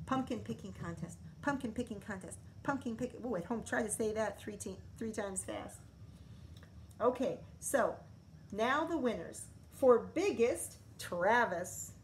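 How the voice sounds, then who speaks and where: A woman's voice: a little high, moderate pace, a little hiss or hum. A middle-aged American woman, a schoolteacher, reading a picture book aloud to young children and explaining it expressively as she goes. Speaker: elementary school teacher.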